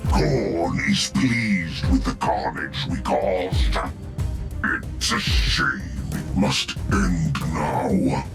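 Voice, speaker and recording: A deep, slow chaos warlord. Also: male voice, lively intonation, music in the background, heavily acted. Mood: menacing. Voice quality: extremely deep, slow menacing delivery. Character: brutal male chaos warlord, honor-bound. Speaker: villain.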